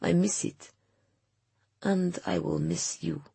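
A woman plays a lonely young immigrant man in an audiobook. He speaks simple, halting learner's English with a sad, earnest air. The voice is rough with fry and recorded close and dry. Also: lowish voice, steady tone, warm tone, no room sound, exaggerated voice. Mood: wistful. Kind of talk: audiobook character dialogue.

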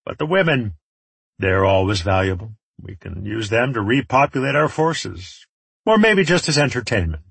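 A man voicing a menacing male warlord in an audiobook, taunting and mockingly genteel in a theatrical, drawn-out way. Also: on the low side, sing-song, creaky, a bit bright, husky, dry recording, heavily acted. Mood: cruel, amused.

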